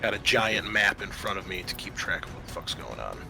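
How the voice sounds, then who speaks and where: A man's voice: low voice, sing-song, a little fast, vocal fry, pushed and bright. A man narrating a tabletop roleplaying game as game master, casual and descriptive in his delivery. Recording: music under the voice. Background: background music.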